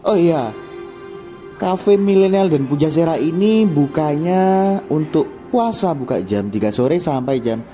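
A man's voice: a little high, lively intonation, measured pace. A man, chatty and upbeat, narrating casually as he walks through a busy food court and cafe, filmed on a handheld camera. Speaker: vlogger touring a food court. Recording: loud background music over the voice. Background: loud music, crowd chatter.

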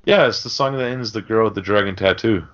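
A man's voice: fairly low pitch, fast, fairly bright tone. A man co-hosting a podcast, discussing film casually in a rambling, sweary, conversational way. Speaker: podcast co-host. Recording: dull, lo-fi podcast audio.

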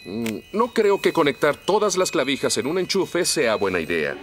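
A kindly, sensible cartoon fireman with a slightly high voice and varied intonation. He speaks Latin American Spanish patiently, with clear music behind him. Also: huge pitch swings, normal speed, fairly bright tone. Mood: patient concern. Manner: patient, explanatory. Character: calm, sensible, kindly male firefighter hero. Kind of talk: children's cartoon dubbing.

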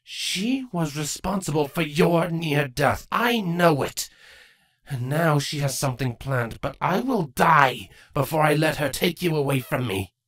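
Woman acting a low, grave changeling queen. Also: lively intonation. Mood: fierce, protective. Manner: measured, formal, grave. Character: regal, brooding changeling queen mother, protective and grave. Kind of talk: acted fan fiction audiobook dialogue.

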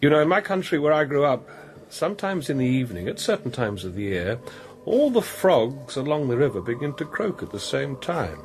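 A thoughtful priest in a naturalistic radio drama. His mid-pitched voice moves with lively, expressive inflection, gentle and searching, over faint background ambience. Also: fairly quick, a little hiss or hum. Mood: gentle, wondering. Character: gentle, probing priest, an investigator sent from Rome.

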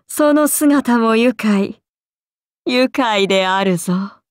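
An actress playing a haughty ancient serpent goddess in a video game, speaking in an imperious, archaic and languid way, amused and menacing. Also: female voice, a little high, drawn-out words, no room sound, clean studio sound. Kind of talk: video game character voice lines.

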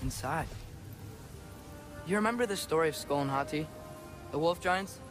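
A man's voice: on the high side, expressive, moderate pace, music in the background, natural voice. An actor playing an earnest, eager teenage boy explaining things in a video game cutscene, slightly hesitant.

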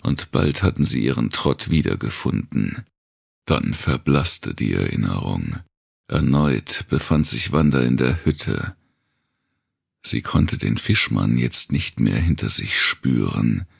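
A man reading a dark thriller audiobook in a measured, deliberate way, with a gravelly, husky voice. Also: very deep voice, no echo, clean studio sound. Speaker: audiobook narrator. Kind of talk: scripted narration of a dark post-apocalyptic thriller.

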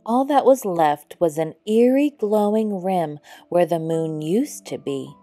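A woman reads a children's story aloud at an unhurried pace. Her voice is on the low side and soft-edged, with gentle, deliberate phrasing. Music plays behind her. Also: lively intonation. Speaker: storybook narrator. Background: background music.

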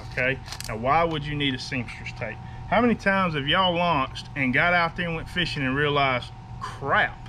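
A man's voice: on the high side, pushed and bright. A man, talking casually to the camera in a driveway and stopping to ask "okay?" as he runs through fishing gear. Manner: folksy, emphatic, keeps asking "okay?". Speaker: fishing vlogger. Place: outdoor driveway beside a house. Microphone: camera mic at a few feet. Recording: outdoor camera audio with background noise.

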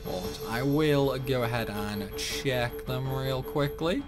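A man's voice: medium pitch, slow, over music. A man giving casual, rambling commentary while playing a video game. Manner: chatty, rambling, animated. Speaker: gaming YouTuber.

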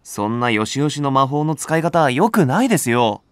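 A man's voice: medium pitch, big pitch swings, moderate pace, clean tone, no room sound, lightly acted. A man voicing an earnest young rookie nursery teacher in a voice drama, polite and enthusiastic. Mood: earnest concern. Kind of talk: Japanese voice drama acting.

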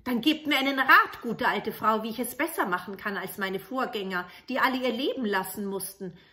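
A woman's voice: a little high, normal speed, bright, forceful tone. A woman voicing a poor, brave, courteous soldier in a fairy tale, earnest and plucky. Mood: eager, unsure.

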